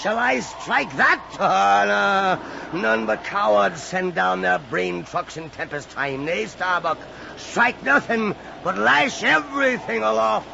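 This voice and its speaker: An actor playing a crazed, defiant old whaling captain in an old radio drama, ranting in grandiose archaic speech with a gravelly voice. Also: male voice, sits high, slow pace, few pauses, full character voice. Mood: scornful fury. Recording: muffled, noisy old radio recording.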